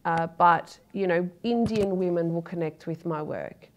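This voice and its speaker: An Australian woman in her thirties talks about her work in a TV studio interview. She sounds earnest and open, often pausing on fillers and restarting phrases. A lapel mic keeps her voice close and clean. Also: on the low side, a little hiss or hum. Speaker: poet, interview guest. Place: dark TV studio, seated in a chair.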